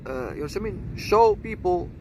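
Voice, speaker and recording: A man of about thirty-one with a Moroccan accent, rambling to viewers in halting short phrases and constantly adding tag questions. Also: high voice, expressive, noisy background. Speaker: seduction coach introducing own channel. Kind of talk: rambling vlog monologue.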